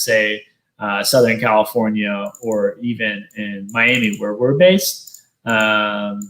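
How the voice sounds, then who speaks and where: A man's voice: mid-range voice, a bit bright. Man explaining business options in an echoey room. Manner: explanatory, frequent fillers. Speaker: webinar co-host. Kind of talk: business webinar talk.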